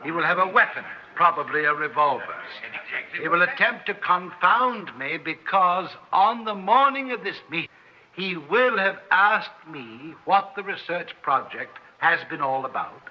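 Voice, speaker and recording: A man's voice: sits high, lively intonation, unhurried, a little hiss or hum. An actor playing an arrogant male scientist who lectures precisely and pompously in an old-time radio drama. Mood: smug, lecturing. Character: arrogant male scientist coolly presenting his findings. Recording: dull, band-limited old radio recording.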